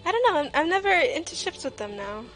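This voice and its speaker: A woman's voice: high-pitched, big pitch swings, fast talker, a bit bright. A woman livestream host chatting casually and jokingly while she draws, with a playful, self-deprecating way of talking. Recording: music playing behind the voice. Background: music.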